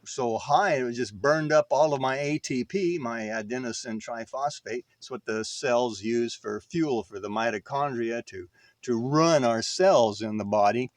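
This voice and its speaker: Older guy just rambling thru a story, folksy and self-deprecating, mid pitch voice, dry close sound. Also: no echo. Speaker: vlogger. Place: inside an RV.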